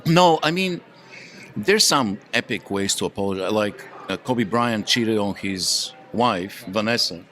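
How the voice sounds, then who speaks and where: A man's voice: lowish voice, expressive, measured pace, sharp tone. A man chatting casually as a podcast panelist in a wry, joking way. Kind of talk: casual group chat.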